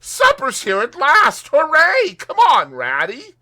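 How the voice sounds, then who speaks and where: A man voicing a puffed-up, boastful toad gentleman in a storybook, with swaggering, theatrical emphasis. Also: very high-pitched, wildly swooping pitch, slow pace, voice pushed up, heavily acted. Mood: dramatic, excited.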